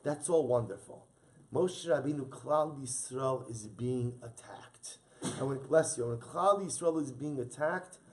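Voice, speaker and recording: A man's voice: medium pitch, darkish tone, slightly rough. A man teaching a Torah class as a rabbi. He reads Hebrew source text aloud, explains it in English, and repeats his key points for emphasis.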